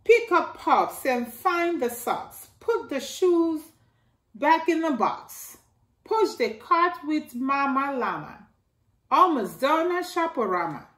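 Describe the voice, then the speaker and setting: A middle-aged woman reading a rhyming picture book aloud to children in a slow sing-song way, filmed indoors at home by a camera a few feet away. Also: sits high, big pitch swings, fairly bright tone. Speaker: storytime reader. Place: living room by a staircase, indoors. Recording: echoey room.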